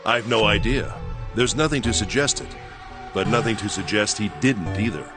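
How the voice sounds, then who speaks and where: A man voicing a composed, formal older gentleman in an anime-style game. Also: lowish voice, normal speed, over music, natural voice.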